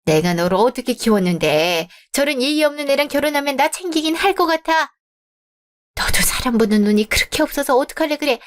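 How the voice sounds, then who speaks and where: A woman's voice: high voice, expressive, a little fast. A woman voicing an overbearing middle-aged mother of the groom in a radio drama, nagging and indignant. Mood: scornful.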